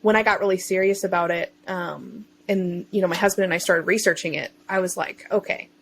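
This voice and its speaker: A woman's voice: lowish voice, fairly quick, fairly bright tone, slight background noise. Chatty woman telling her story casually. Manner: chatty, informal, self-interjecting. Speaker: podcast guest; self-employed digital marketer and online bookseller.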